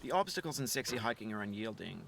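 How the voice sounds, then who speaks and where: A man giving calm, thoughtful scripted narration, measured and reflective. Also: on the low side, gravelly, slight background noise. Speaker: game narrator. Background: music.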